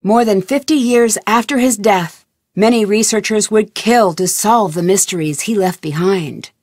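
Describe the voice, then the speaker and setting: A woman's voice: mid-range voice, a little mellow, dry recording, studio quality. Dramatic trailer narrator, woman. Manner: dramatic, measured.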